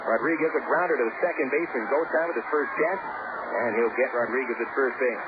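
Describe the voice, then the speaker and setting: A man calling a baseball game on the radio in an easygoing, chatty way, mixing pitch calls with player statistics. The sound is thin and phone-like, with clear hiss underneath. His pitch sits in an ordinary middle range. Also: fast talker. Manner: relaxed conversational calling with stats. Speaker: play-by-play radio announcer. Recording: tinny narrowband radio with hiss.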